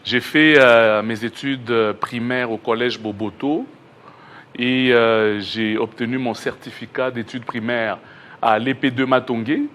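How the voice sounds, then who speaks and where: A man's voice: mid-range voice, fairly even intonation, a little slow, a bit bright, a little hiss or hum. Congolese man with a Québec-tinged accent. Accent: Québécois-tinged French. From Kinshasa, Congo. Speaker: education researcher, guest. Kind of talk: interview answers. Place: TV studio.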